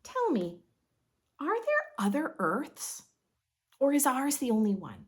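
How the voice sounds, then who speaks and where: A woman reading a picture book aloud to young children in an expressive, instructive way, as a read-aloud host. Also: sits high, slow pace. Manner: expressive, instructive, asks listeners questions.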